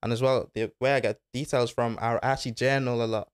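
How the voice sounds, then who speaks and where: A man's voice: mid-range voice, level tone, quick pace, clean tone, no echo, studio quality. A young man, talking casually as a podcast guest, laid-back and full of slang. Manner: laid-back slang-filled talk, says bro and innit. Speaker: guest footballer. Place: small studio with foam panels. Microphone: desk mic on arm.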